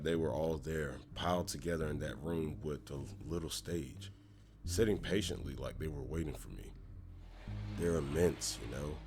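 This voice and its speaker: A man voicing a weary, guilt-burdened man in an amateur comic dub, speaking in a halting, somber way over music. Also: very deep voice, noisy background. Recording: music behind the voice.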